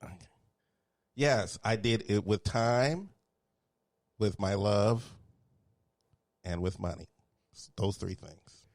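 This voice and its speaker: A man from Houston hosts a loose, casual podcast, laid-back and quick to laugh at his own jokes. His voice sits on the low side. It is recorded close and dry, with no room sound. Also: dry recording. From Houston, Texas. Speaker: podcast host. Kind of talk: casual chat.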